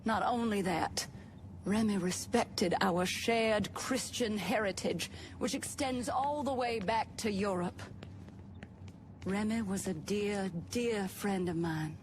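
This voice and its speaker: An actress playing a cold, imperious society matriarch in a video game, speaking in a formal, commanding manner. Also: female voice, medium pitch, slow, slight background noise, natural voice. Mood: solemn, gracious. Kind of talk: video game cutscene acting.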